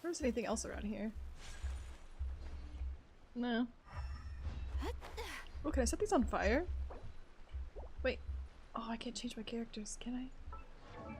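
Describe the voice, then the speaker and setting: A woman's voice: on the high side, expressive, slow pace, long pauses, a little hiss or hum. A woman streamer giving casual live gameplay commentary, reactive and playful, often talking to the game and to herself. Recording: game music in the background.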